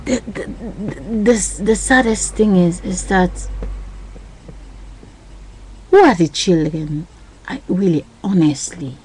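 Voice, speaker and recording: A woman's voice: on the low side, lively intonation, takes it slowly, long pauses, darkish tone, a little hiss or hum, low-quality recording. A woman talking into her webcam in a rambling, agitated monologue, repeating herself and using broken, non-native grammar. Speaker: webcam vlogger. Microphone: built-in webcam mic.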